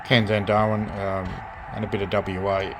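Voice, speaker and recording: Halting Australian man over background noise. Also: fairly low pitch, little pitch movement. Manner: halting, hesitant, piecemeal phrasing. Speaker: radio restorer presenting own collection. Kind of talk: casual hands-on show-and-tell commentary. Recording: clear background noise, hobby video.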